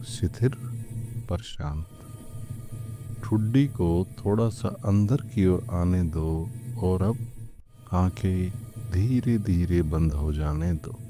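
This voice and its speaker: Very slow, deep-voiced man guiding meditation. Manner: calm, unhurried, gentle instructing with long pauses. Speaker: meditation guide. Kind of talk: scripted guided meditation, slow and soothing. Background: background music.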